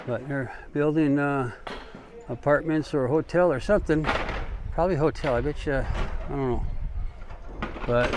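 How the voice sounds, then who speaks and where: A man chatting casually in a rambling, folksy, joking way as a travel vlogger walking along. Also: medium pitch. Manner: folksy, joking, informal mild swearing. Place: outdoors, walking along streets. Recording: outdoor street noise, passing vehicles.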